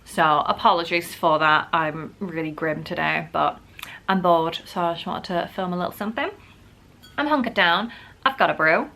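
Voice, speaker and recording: A woman's voice: lowish voice, moderate pace, sharp tone. A woman vlogger, sick and wheezy with a bad cough, chatting casually to camera and poking fun at herself. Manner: chatty, self-mocking, many "like" fillers.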